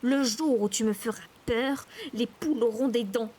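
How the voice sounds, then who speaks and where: A woman's voice: medium pitch, very animated, normal speed, a bit bright, a little hiss or hum. A woman doing an exasperated, hot-tempered boy. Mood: angry bluster. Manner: indignant, blustering, detaching syllables when angry.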